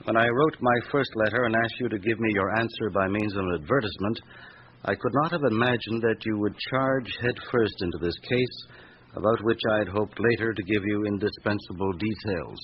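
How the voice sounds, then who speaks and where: Actor as dutiful junior detective, lowish voice. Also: male voice, a little fast, close to an everyday voice. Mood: reading gravely. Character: dutiful, eager male junior police detective. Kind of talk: radio drama acting. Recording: muffled radio drama with clear hiss.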